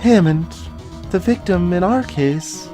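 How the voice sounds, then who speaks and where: A woman's voice: on the low side, expressive, slow pace, dark tone, faint music behind. Curious young sidekick girl played by a woman. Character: young female sidekick, curious, naive and playful. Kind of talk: fan voice acting of video game dialogue.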